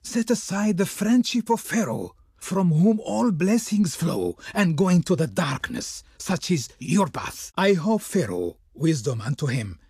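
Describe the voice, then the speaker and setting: An actor voicing a servile, ceremonious and pompous Egyptian diplomat in a theatrical video game style. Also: male voice, on the high side, sing-song, a little slow, few pauses, darkish tone, slightly rough, no room sound, studio quality, exaggerated voice. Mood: coldly disapproving. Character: servile, smooth-tongued Egyptian diplomat of Pharaoh, pompously reverent.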